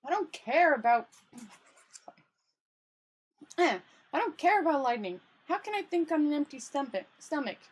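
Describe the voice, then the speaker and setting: A woman's voice: sits high, very animated, a little fast, voice pushed up. A woman voicing an irritable, hopeless male failed wizard in a grumbling, fretful storybook read-aloud. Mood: exasperated.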